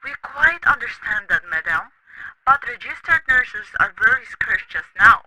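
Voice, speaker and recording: A woman's voice: fairly high pitch, big pitch swings, measured pace, crowd noise, rough recording. A woman playing a courteous, formal hospital receptionist in an amateur radio play cover. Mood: polite.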